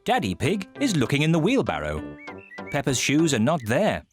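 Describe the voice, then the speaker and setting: A man narrating a children's cartoon in a calm, matter-of-fact storybook manner. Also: fairly high pitch, moderate pace. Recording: studio voice-over with music behind.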